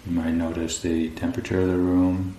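A man leading a guided meditation as a teacher, speaking calmly and unhurriedly with long pauses between phrases. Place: indoor room at a group retreat. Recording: roomy echo, faint low rumbling noise.

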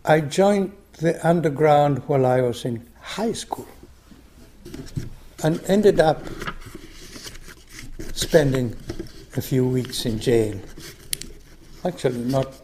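Older male meditation teacher, unhurried and thoughtful, mellow tone. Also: mid-range voice, expressive, a little hiss or hum. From Argentina. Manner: slow, reflective, frequent restarts. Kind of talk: dharma talk.

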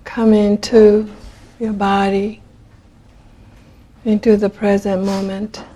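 A woman leading a guided meditation in a gentle, unhurried manner, leaving long pauses between phrases. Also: mid-range voice, level tone. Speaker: meditation teacher.

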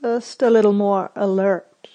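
A woman meditation teacher leading a guided meditation, speaking gently in short phrases with long pauses between them. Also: medium pitch, fairly even intonation, highs cut off.

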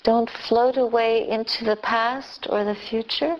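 A woman leading a guided meditation as a teacher, speaking gently and unhurriedly in short phrases with long pauses. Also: fairly high pitch, steady tone, lo-fi sound. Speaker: Buddhist nun, meditation teacher.

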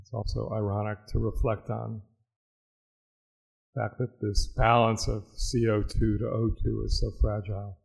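Low, gravelly man guiding meditation. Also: flat delivery. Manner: slow, measured, with long pauses. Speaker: meditation teacher. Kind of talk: guided meditation, reflective talk.

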